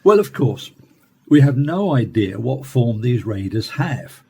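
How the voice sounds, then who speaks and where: An actor playing a measured academic professor in a radio play, speaking formally and deliberately. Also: male voice, medium pitch, very animated, gravelly, warm tone, a little hiss or hum. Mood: earnest, explanatory. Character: measured male Cambridge professor explaining alien attacks. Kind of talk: radio play acting as interviewed expert.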